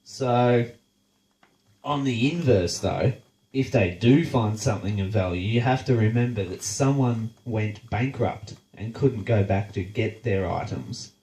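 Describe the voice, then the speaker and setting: Unhurried Australian host with a head cold, nasal and blunt, swearing his way through a sarcastic rant. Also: lowish voice, warm tone, echoey room, poor audio. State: congested, nasal from a blocked nose. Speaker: show host. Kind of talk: casual profane rant.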